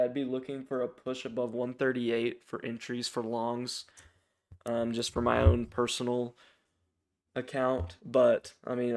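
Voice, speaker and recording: A man hosting a casual, unscripted stock watchlist, raspy from strep throat, informal and hesitant as he thinks aloud. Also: mid-range voice. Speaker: stock trading channel host.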